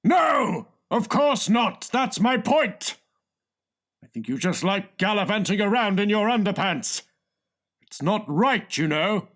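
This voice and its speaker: Pompous male ringleader, very high voice, slow emphatic bluster, exasperated, comic audiobook. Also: very animated, a bit bright, heavily acted. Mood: scolding. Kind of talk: comic audiobook character reading.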